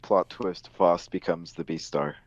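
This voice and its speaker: A man co-hosting a podcast in casual conversation, heard through a muffled, low-fidelity connection. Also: steady tone, a little slow, no room sound. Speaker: podcast co-host. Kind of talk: casual chat.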